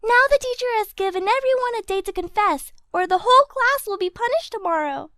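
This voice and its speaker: A woman's voice: extremely high pitch, expressive, brisk, fairly bright tone, no echo, studio quality, pitched above the performer's own voice. A woman voicing a worried little cartoon schoolgirl, earnest and childlike, explaining things carefully. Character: worried little schoolgirl confiding in her grandmother.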